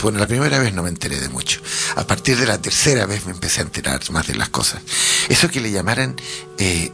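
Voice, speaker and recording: Chilean male radio host, low gravelly voice, chatty and halting interview manner. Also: fairly bright tone. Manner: chatty, halting, laughs often.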